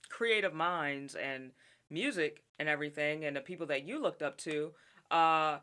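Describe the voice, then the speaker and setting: A man's voice: fairly high pitch, clear, resonant tone. A man interviewing a rapper, chatting casually and agreeably about making music. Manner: animated, agreeable, lots of "mm-hmm".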